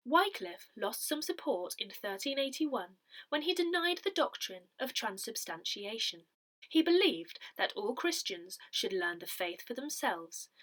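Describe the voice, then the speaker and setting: A woman reading a parish newsletter aloud from a script, in a measured, carefully enunciated way. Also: fairly high pitch, pushed and bright. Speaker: newsletter reader.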